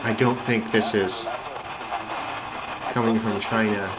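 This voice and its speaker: A man with a fairly low voice talks casually as a shortwave hobbyist narrating his listening session. He thinks aloud and sounds tentative in his phrasing. Loud radio static and noise run behind his voice. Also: fairly even intonation, slow pace. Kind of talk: casual unscripted commentary. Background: shortwave radio reception.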